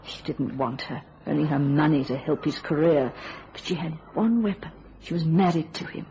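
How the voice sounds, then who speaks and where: A woman's voice: low-pitched, normal speed, warm tone, muffled recording, a little hiss or hum. A woman playing a cool, guarded and troubled wife in a radio thriller, well-spoken and restrained. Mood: sorrowful.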